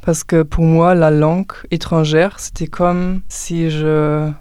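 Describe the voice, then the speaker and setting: Woman, German native, flat and dark. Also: sits low, slow, smooth, no room sound, studio quality. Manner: thoughtful, hesitant, restarts words. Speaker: discussion participant. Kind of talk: casual group discussion.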